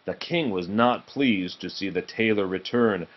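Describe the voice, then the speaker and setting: A man reading a children's storybook aloud in an expressive narrating style. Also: fairly low pitch, measured pace, muffled recording, slight background noise. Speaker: storybook reader.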